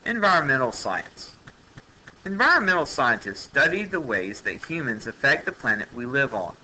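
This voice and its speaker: Male educational narrator, very sharp bright tone, plain classroom reading, noisy home-made recording. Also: on the high side. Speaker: teacher reading a textbook aloud. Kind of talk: educational read-aloud narration.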